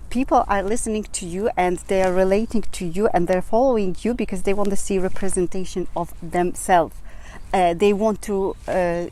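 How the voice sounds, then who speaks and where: A woman's voice: mid-range voice, warm tone. A woman with a Polish accent, for whom English is not her first language, hosting her own channel and giving motivational advice with frequent fillers and restarts. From Poland. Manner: earnest, many fillers and restarts. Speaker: channel host. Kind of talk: motivational talk to camera.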